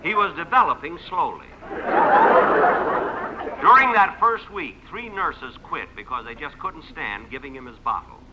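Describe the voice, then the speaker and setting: Animated, highish male radio narrator. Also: unhurried. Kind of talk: scripted comic narration, 1950s radio. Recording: very noisy 1950s radio recording.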